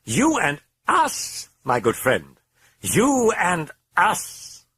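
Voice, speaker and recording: A man's voice: sits high, wildly swooping pitch, drawn-out words, pushed and bright, breathy edge, dry recording, pitched above the performer's own voice, heavily acted. A man voicing a sardonic, mocking demon that speaks through a possessed girl, sneering and theatrical.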